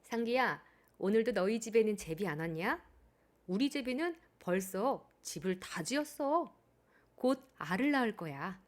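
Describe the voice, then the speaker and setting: Lady doing a bragging kid, proud, louder, animated pitch. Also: measured pace, close to an everyday voice. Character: proud boastful boy with a swallow nest.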